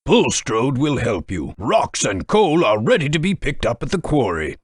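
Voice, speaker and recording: Portly older railway boss, game guide, husky, very lively pitch, brisk and encouraging. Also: male voice, no echo, clean studio sound. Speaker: game guide giving instructions. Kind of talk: studio game voice-over. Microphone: studio microphone.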